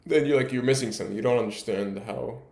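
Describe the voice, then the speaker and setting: A man explaining his ideas in a casual discussion, halting and rephrasing emphatically as he goes. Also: mid-range voice, creaky, a little mellow. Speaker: speaker explaining a research ranking system.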